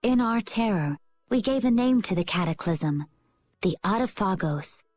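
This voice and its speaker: Game heroine, gentle young woman played by a woman: earnest, medium pitch, music underneath. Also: animated, moderate pace, dry recording, natural voice. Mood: solemn. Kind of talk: video game voice acting.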